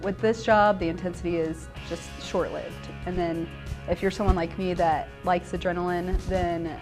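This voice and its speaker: Woman, police dispatcher, lowish pitch, sincere interview delivery, prominent music bed. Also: steady tone, normal speed. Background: background music.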